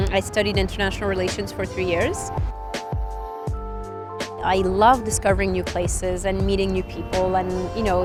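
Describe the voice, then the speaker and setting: Woman over soft music. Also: medium pitch. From Switzerland. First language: French. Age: 30s. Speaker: interviewee, tech reporter. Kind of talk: reflective documentary interview. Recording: music playing underneath.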